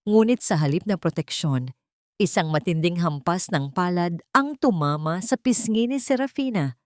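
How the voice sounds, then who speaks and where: Female narrator, lowish register, solemn dramatic storytelling, dry sound. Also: expressive, moderate pace, darkish tone, no room sound.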